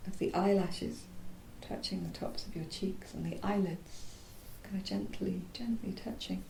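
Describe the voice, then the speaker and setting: A woman leads a guided body relaxation in a mellow voice with a gravelly creak. She speaks softly and soothingly, leaving pauses mid-phrase and letting endings go breathy. The room is echoey. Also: steady tone. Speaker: yoga nidra teacher. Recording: roomy echo, faint low rumbling noise.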